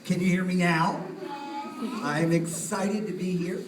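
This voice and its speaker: A man's voice: high-pitched, fairly even intonation, measured pace, noisy background. A man, a puppeteer, playfully introducing a live show to children and putting questions to his audience. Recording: echoey room, distant mic, music behind.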